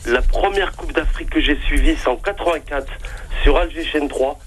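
A man's voice: on the high side, moderate pace, a bit bright, a little hiss or hum. A man in his late forties calling a radio show, insistent and formal, pressing his point hard. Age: 40s. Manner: insistent, addresses hosts formally. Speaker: caller. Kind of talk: radio call-in, opinionated. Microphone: phone line.

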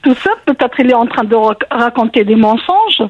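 A woman's voice: fairly high pitch, lively intonation, moderate pace, dull audio. A woman calls in to a radio show and speaks hesitantly, with a lot of fillers as she works out what to say. Her voice comes through a thin line that sounds like a telephone. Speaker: caller. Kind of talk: casual phone-in chat.

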